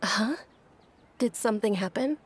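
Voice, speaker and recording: A woman playing a frail, ailing young noblewoman in a video game, speaking softly, politely and with gentle resignation. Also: medium pitch, expressive, measured pace, a little hiss or hum, close to an everyday voice. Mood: resigned, gentle. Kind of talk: video game character dialogue.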